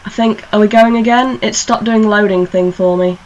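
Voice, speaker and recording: A woman's voice: medium pitch, steady tone, moderate pace, darkish tone, dull audio. A woman hosting a casual fan discussion over an internet call, informal and playful in how she talks. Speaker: online radio show host. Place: internet call recorded on a computer.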